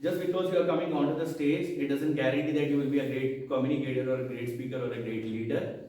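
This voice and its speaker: A man's voice: medium pitch, fairly even intonation, fast talker, mellow. Earnest Indian English man, very echoey hall. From Haryana, India. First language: Haryanvi. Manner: earnest, quizzes the audience with rhetorical questions. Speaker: guest speaker at a club installation ceremony. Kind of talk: motivational public speech. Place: meeting hall, indoors. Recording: very echoey room, mic far off.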